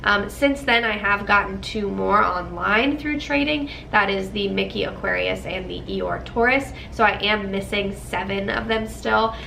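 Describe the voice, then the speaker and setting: A woman's voice: mid-range voice, measured pace, gravelly, hardly any pauses, sharp tone, echoey room, recorded from a distance, slight background noise, poor audio. A young woman with a stuffy nose from a cold, chatting casually to camera, with lots of ums and likes. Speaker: vlogger.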